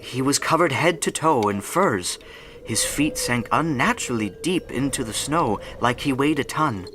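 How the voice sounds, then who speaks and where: An actor playing an awed, fearful mountain lodge keeper telling an ominous tale in a radio adventure. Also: male voice, mid-range voice, expressive, normal speed, noisy background, natural voice. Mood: ominous, haunted. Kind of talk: dramatic storytelling in radio adventure.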